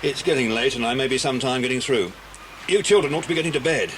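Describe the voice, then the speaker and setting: An actor playing a calm, protective grown man who guides children in an audio drama, with a measured, avuncular, reassuring manner. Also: mid-range voice, natural voice. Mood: serious, concerned. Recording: noisy old cassette dramatisation.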